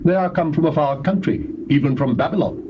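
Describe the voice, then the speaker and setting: A man's voice: a little high, brisk, natural voice. A man playing a pious king in a scripture drama, speaking earnestly and humbly in formal archaic phrases. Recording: muffled, noisy old cassette tape.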